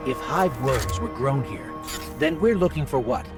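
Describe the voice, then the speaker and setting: Earnest, inquisitive AI companion. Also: male voice, medium pitch, big pitch swings, unhurried, loud music behind the voice. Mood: puzzled, inquisitive. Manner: conversational, questioning, polite. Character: earnest, inquisitive male AI companion, loyal and plainspoken. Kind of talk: scripted game dialogue.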